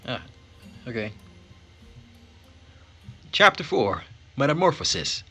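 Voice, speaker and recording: A man's voice: medium pitch, big pitch swings, slow, pushed and bright, slightly rough, soft background music. A man giving casual, off-the-cuff commentary as he plays a game. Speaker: let's player.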